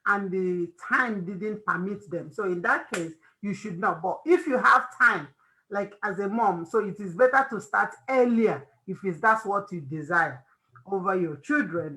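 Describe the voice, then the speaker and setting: A woman's voice: medium pitch, normal speed, pushed and bright, rough recording. A woman with a Nigerian accent hosting an informal faith-based advice talk, emphatic and repeating phrases for stress. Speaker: host of a family discussion.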